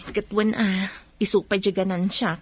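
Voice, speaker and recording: A woman playing a patient, soft-hearted village peddler in an Ilocano comedy radio drama, speaking with an Ilocano accent. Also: medium pitch, flat delivery, moderate pace, breathy edge, natural voice. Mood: easygoing.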